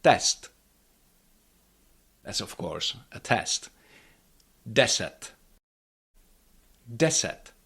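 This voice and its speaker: A man's voice: on the low side, sing-song, extremely slow, creaky, pauses often, a bit bright, husky. A middle-aged man with a Czech accent teaching pronunciation, modelling each word twice for learners to repeat. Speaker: language teacher. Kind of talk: pronunciation lesson with repeat-after-me drills.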